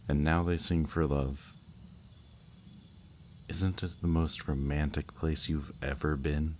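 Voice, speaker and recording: Guy doing a really deep slow villain voice, smug flirty kidnapper talking right to you, roleplay audio, faint crickets n birds. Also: little pitch movement, a little hiss or hum. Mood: wistful, coaxing. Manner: teasing, unhurried, softly menacing. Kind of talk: roleplay audio drama, intimate monologue to the listener. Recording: outdoor night bird and insect ambience. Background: birdsong and crickets at night.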